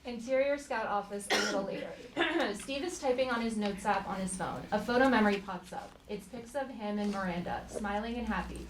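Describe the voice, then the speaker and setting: A woman's voice: mid-range voice, a little fast, few pauses. A woman reading stage directions as scripted narration at a live comedy table read. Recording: echoey theater, clear background noise.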